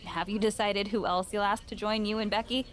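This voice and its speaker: A woman's voice: medium pitch, fairly even intonation, brisk, a bit bright, slight background noise, close to an everyday voice. A woman playing an exasperated, sarcastic wrestling promoter in a scripted video game cutscene.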